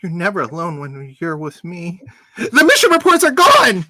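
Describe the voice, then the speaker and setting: A performer playing an eager, flirtatious starship engineer in a fan radio play, casual, teasing and excitable. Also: medium pitch, normal speed, no echo, full character voice.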